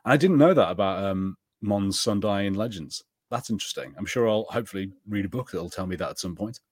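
A man with a low voice chats casually as a podcast co-host. He rambles, full of fillers and restarts. The recording is dry and close. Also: expressive, fast, a little mellow, slightly rough, dry recording. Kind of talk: casual chat.

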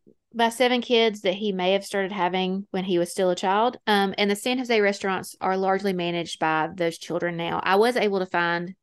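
A woman, roughly in her thirties or forties, hosting a casual true-crime podcast chat in a wry, self-deprecating way. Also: medium pitch, steady tone, fairly quick. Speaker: podcast host.

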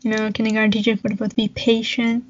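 A woman's voice: a little high, monotone, fast, muffled recording. A young woman whose first language is Cantonese, co-hosting a podcast in casual chat and telling a personal story with frequent laughs. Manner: chatty, laughs often, storytelling. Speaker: podcast co-host.

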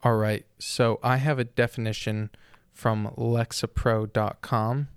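Husky, gravelly-voiced male podcast host. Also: mid-range voice, level tone, unhurried, soft background music. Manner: thoughtful, rambling, self-correcting. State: sick, sore throat. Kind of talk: casual conversational podcast.

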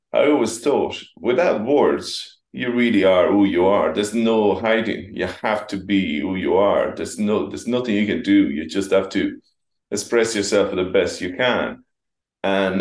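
A man with a very deep voice asks his questions in a measured, reflective way. English is not his first language. His voice has a roomy echo around it. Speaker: podcast host. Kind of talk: interview conversation.

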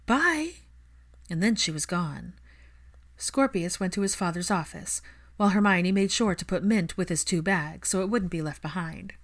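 A woman reading fiction aloud as a scripted audiobook-style narration. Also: fairly low pitch.

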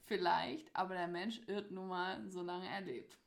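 A woman's voice: lowish voice, steady tone, measured pace. A woman chatting casually and informally as co-host, playing a question card game. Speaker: co-host of a faith podcast.

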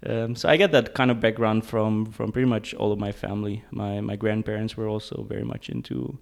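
A man in his thirties from Hungary, a tech co-founder, talking as a guest in a halting way with many restarts. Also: lowish voice, fairly even intonation, gravelly. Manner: halting, restarts, searching for words. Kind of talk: casual interview chat.

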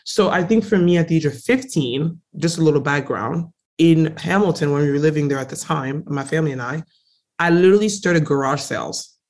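A young adult woman from Congo, a native French speaker, telling her story as an interview guest in a casual, emphatic and animated way. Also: low voice, a little fast. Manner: emphatic, animated, frequently stresses words. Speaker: guest entrepreneur.

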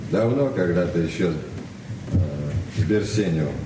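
Calm mature gentleman, lowish voice, dry humour, 1970s radio play, echoey. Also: moderate pace. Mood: gently teasing. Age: middle-aged. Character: mature male guest, calm, dryly teasing, courteous. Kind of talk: understated Soviet radio play acting. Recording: echoey, distant, noisy old radio recording.

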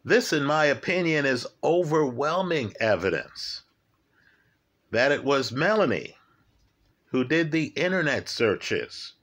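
Slow, emphatic male crime commentator. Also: mid-range voice, a bit bright. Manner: slow, emphatic, repeats key facts and asks "right?". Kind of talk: true crime case commentary.

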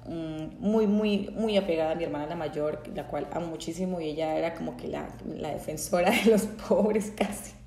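Colombian woman, Santander accent, candid testimony, soft mellow tone, echoey room. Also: lowish voice, slight background noise. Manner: candid, reflective, many fillers and restarts. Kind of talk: personal testimony talk.

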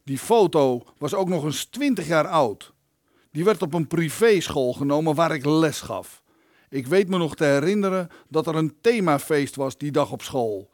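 An actor in a radio play voicing an indignant, self-important politician locked in a dungeon, with a pompous, self-justifying manner. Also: male voice, on the high side, lively intonation, moderate pace, a little mellow, no room sound, clean studio sound, lightly acted. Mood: rueful, self-justifying. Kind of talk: Dutch radio play acting.

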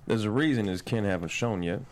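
A man's voice: lowish voice, a little fast, slight background noise, natural voice. A man playing a stern, proud, self-righteous father in his late thirties in a screenplay table read, speaking bluntly. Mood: dry, skeptical.

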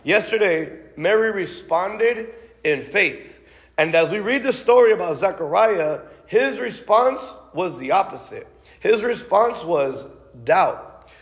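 Man, preacher, casual sermon and prayer, many restarts, slightly high voice, long hall reverb. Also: lively intonation, slow. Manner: repeated "God" and "Lord" tags in prayer.

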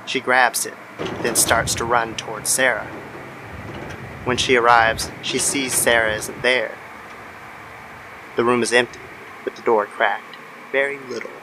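A man narrating a scripted horror story in a measured, somber manner. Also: mid-range voice, little pitch movement, background noise. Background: music.

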